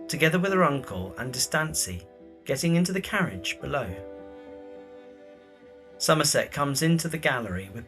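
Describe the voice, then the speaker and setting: A man reading scripted, present-tense scene narration for an audio drama. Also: mid-range voice.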